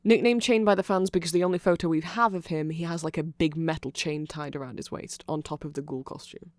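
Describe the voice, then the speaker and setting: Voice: mid-range voice, fairly even intonation, brisk, dry recording, close to an everyday voice. A nerdy vampire played by an ASMR performer explains things in an eager, self-conscious ramble. The voice sits in a middle register and keeps wandering off on tangents and apologizing. The sound is close and dry, with very little room to it. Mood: rambling, explanatory.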